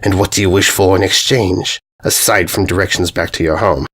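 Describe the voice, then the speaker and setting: A man voicing a menacing giant centaur villain in a storybook, with a raspy voice and a grandiose, theatrical, exasperated manner. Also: low-pitched, fairly even intonation, fairly quick, fairly bright tone. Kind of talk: storybook narration character voice.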